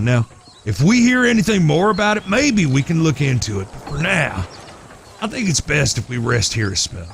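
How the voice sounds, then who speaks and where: An actor playing a gruff, protective eldest brother of Old West gunslingers, with a folksy American drawl and an authoritative way of talking. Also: male voice, on the high side, a little hiss or hum. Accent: American, Southern or Western drawl. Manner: authoritative, folksy, plain-spoken. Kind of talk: Western audio drama acting.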